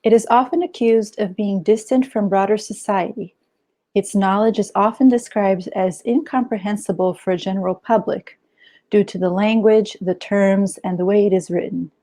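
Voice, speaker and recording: Woman in her 30s reading out a conference paper, soft mellow tone, steady and formal. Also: medium pitch, moderate pace. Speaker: anthropology PhD student. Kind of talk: read academic conference talk.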